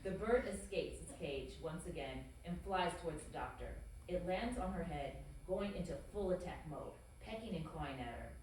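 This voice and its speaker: A woman's voice: low voice, expressive, moderate pace, hardly any pauses, a little mellow. A woman reading out stage directions as narrator in scripted narration at a comedy table read. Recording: very echoey room, distant mic, faint hiss.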